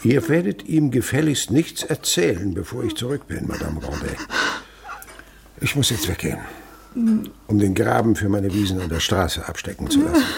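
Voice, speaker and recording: An actor playing a stern, miserly, middle-aged head of a household in a German radio drama, curt and scornful. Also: male voice, lowish voice, gravelly, darkish tone, a little hiss or hum.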